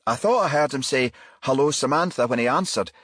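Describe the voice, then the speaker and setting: A man voicing an alert, dutiful female police detective in a crime audiobook, reporting briskly. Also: mid-range voice, animated, fairly bright tone, breathy edge, dry recording, studio quality, pitched above the performer's own voice.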